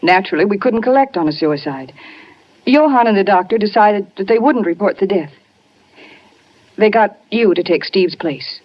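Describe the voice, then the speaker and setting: Actress as melodramatic wife, swooping voice. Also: female voice, medium pitch, animated, normal speed, close to an everyday voice. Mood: fearful confession. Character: seductive, anxious wife drawn into a scheme. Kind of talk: 1950s melodramatic radio acting. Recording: muffled 1950s radio recording, faint music.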